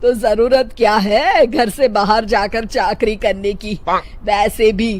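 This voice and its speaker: Woman playing a loud, bossy old mother-in-law. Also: medium pitch, fairly even intonation, takes it slowly, natural voice. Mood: scolding. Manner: loud, emphatic scolding delivery. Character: bossy, old-fashioned elderly mother-in-law, scolding and proud.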